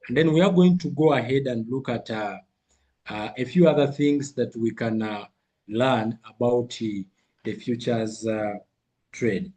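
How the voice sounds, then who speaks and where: Husky man with a cold, coaching. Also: mid-range voice, dark tone. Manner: repeats figures and phrases for emphasis. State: has a cold. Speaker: trading coach. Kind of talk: live online trading lesson.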